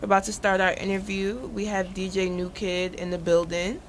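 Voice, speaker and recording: A woman's voice: mid-range voice, monotone, fairly quick, slight background noise, low-quality recording. Easygoing young woman radio host. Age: young adult. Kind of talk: casual chat and interview hosting.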